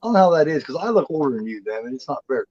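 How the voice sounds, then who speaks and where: A man's voice: a little high, sing-song, rapid-fire, darkish tone, slightly rough, no echo, dull audio. A man in his forties chatting as co-host, blunt and profane, rambling with lots of restarts. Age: 40s. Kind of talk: casual chat.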